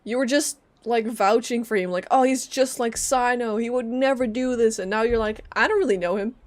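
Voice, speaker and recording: A woman's voice: a little high, fairly quick. A woman doing casual live gameplay commentary, informal, playful and reactive as a streamer.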